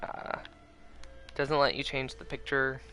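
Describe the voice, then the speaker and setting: A man's voice: medium pitch, completely flat delivery, slow pace, sharp tone. A man casually chatting and explaining things in a laid-back way during a game livestream. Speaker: game streamer. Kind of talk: casual livestream chat over gameplay. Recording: game music behind the voice. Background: game music and effects.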